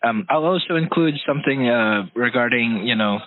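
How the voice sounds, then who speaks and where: A man's voice: medium pitch, expressive, moderate pace. A man hosting an interview who talks earnestly and hesitantly, full of fillers. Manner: earnest, hesitant, many "you know" fillers. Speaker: podcast host. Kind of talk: interview conversation. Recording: tinny phone-line call.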